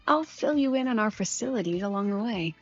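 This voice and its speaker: A businesslike female guide in game dialogue. Her voice is a little breathy, polite and composed, slightly muffled over soft music. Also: medium pitch, lively intonation, brisk. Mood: composed, brisk.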